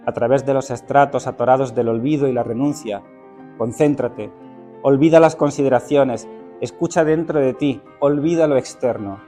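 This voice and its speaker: A man with a mellow, warm voice reads poetry aloud at an even, unhurried pace, pausing thoughtfully between phrases. Faint music sits softly behind him. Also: medium pitch. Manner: unhurried, thoughtful, with hesitant fillers. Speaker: teacher reading poetry at a literary event. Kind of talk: poetry reading with spoken introduction.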